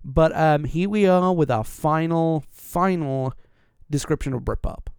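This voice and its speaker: A man's voice: a little high, measured pace, slight background noise. A man hosting a music podcast in a rambling, self-deprecating, chatty way, his audio sounding compressed and dull. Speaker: podcast host. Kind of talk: casual podcast chat.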